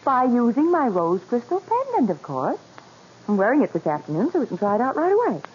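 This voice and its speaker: An actress playing a headstrong, breezy doctor's wife in a 1940s radio mystery, teasing and sure of herself. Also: female voice, on the high side, fairly quick. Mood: eager, confident. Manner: animated, teasing, self-assured. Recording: dull, noisy old 1940s radio recording.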